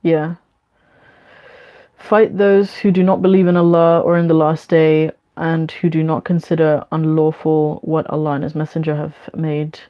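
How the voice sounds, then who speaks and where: A woman with a London accent hosting a casual question-and-answer session, speaking in a frank, measured way. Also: sits low. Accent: London English. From London, UK; born in Bangladesh. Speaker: online Q&A host. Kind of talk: casual spoken Q&A, reading questions and giving opinions. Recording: dull, lo-fi sound.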